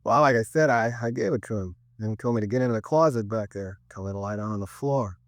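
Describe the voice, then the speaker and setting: A man's voice: on the low side, no echo, close to an everyday voice. An actor playing a male store manager and robbery victim in a 1950s radio crime drama, talkative, colloquial and a little irked. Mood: rattled, earnest. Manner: colloquial, halting, rambling witness talk.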